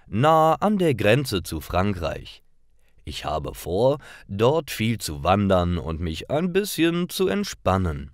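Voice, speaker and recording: Slow, low-voiced man narrating. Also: dry recording, studio quality. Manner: clear, deliberate storytelling for learners. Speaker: narrator of German learning stories. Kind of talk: scripted story narration for language learners.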